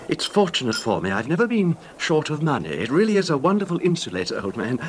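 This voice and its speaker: An actor playing a nervous, apologetic, well-off little man in a radio drama, speaking tentatively and deferentially. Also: lightly acted. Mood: meek, resigned. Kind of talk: old-time radio horror drama acting. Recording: noisy radio recording, train rumble.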